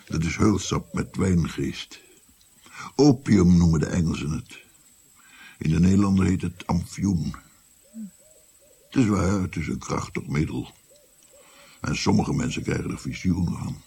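Older male for radio play: rueful grandfather storyteller, very husky, deep voice. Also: a little slow, long pauses, dark tone, heavily acted. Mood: reflective. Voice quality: gravelly, husky. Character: old grandfather physician recounting his past, rueful. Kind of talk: radio drama narration.

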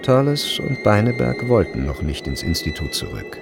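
A deep-voiced man as the narrator of a period radio play about school life. His delivery is measured and deliberate, with a low, creaky grain to the voice. Faint instrumental music runs behind him in the studio. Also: sing-song. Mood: measured, observant. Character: third-person narrator of a turn-of-the-century school story. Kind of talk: literary radio drama narration.

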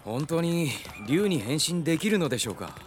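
High-voiced young soldier. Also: male voice, big pitch swings, normal speed, a little hiss or hum. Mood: teasing. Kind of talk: Japanese radio drama acting.

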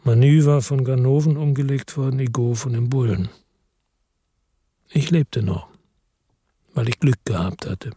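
A middle-aged, world-weary ex-cop narrating a radio play in a laconic, reflective way. His voice is dark and a little husky, recorded dry and close. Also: medium pitch, unhurried, long pauses, no echo. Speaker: first-person narrator and lead.

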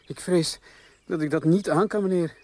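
An actor playing a meek, polite, overburdened servant in a radio drama. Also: male voice, a little high, moderate pace, darkish tone, natural voice. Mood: apologetic.

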